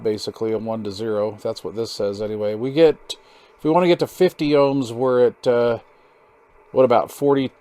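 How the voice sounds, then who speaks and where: A man with a mid-pitched voice talks through a hands-on build as a hobbyist host. His delivery is casual and folksy, wandering from point to point in informal, slangy language. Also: a little mellow. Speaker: hobbyist host of a DIY radio build video. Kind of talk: casual hands-on tutorial chat.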